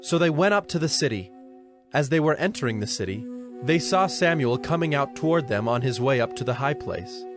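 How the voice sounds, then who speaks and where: A man's voice: medium pitch, lively intonation, fairly quick, over music. A man narrating a dramatized scripture reading from a script.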